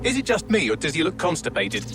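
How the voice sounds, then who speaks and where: A man's voice: high voice, big pitch swings, fast, gravelly, heavy background noise, exaggerated voice. A British man voicing a witty, sarcastic artificial intelligence assistant in a video game, with a wry, chatty manner. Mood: mocking, amused. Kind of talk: scripted video game voice acting.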